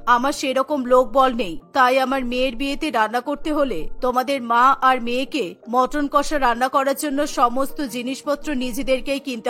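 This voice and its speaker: A woman's voice: high voice, a bit bright, pitched below the performer's own voice. A woman voicing a wealthy, bossy middle-aged mother of the bride in a cartoon, speaking in a commanding, businesslike manner.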